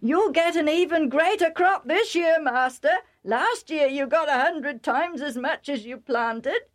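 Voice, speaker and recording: Eager storybook herdsman, calling out in a high, excited voice performed by a woman. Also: slow pace, heavily acted. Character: eager male herdsman servant announcing good news. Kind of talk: dramatised Bible storytelling.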